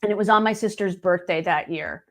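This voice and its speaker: A woman's voice: medium pitch, brisk, a bit bright. Chatty woman in her late fifties. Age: late 50s. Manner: chatty, anecdotal, acts out quoted dialogue. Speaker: guest, singer and video editor. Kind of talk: casual chat, storytelling.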